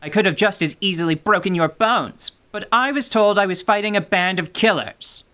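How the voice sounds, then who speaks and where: A performer voicing a grim, composed female warrior who speaks in a calm, measured, stern way. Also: medium pitch, natural voice. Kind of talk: radio drama acting.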